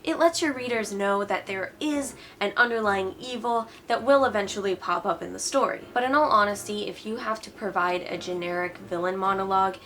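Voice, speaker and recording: A woman's voice: mid-range voice, brisk, hardly any pauses, fairly bright tone, slight background noise. A woman hosting a writing advice video, giving opinionated commentary in an informal, sarcastic way. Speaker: host of a writing advice channel.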